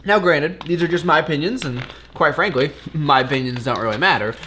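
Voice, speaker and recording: A man's voice: on the high side, a little fast, pushed and bright, slight background noise. A young man talking casually to his vlog audience, upbeat and smiley, rambling with frequent restarts as he gives his opinions. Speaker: fitness and cooking vlogger.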